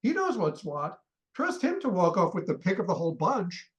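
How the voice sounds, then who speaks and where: A man acting an irascible middle-aged father and collector in a comic radio play. His delivery swings widely in pitch as he blusters and rants with indignation. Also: huge pitch swings, moderate pace. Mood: outraged.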